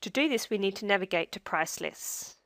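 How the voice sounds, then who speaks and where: A woman narrating a scripted software tutorial as a demonstrator, in a measured, step-by-step instructional way. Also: medium pitch, lively intonation, no echo, clean studio sound.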